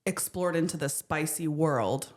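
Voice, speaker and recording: A woman with an alto voice, hosting a podcast in a playful, teasing manner. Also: darkish tone. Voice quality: lowish alto voice. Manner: playful, quick to laugh. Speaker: podcast host. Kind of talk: casual chat.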